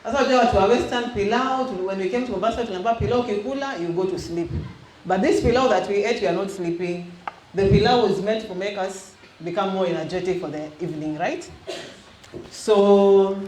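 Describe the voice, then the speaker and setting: A woman's voice: mid-range voice, a little mellow. Kenyan woman teaching youth in an echoey hall. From Western Kenya. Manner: engaging, quizzes the audience, mixes in Swahili. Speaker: youth minister. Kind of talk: interactive teaching to a youth group. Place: church hall. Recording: echoey hall with faint noise.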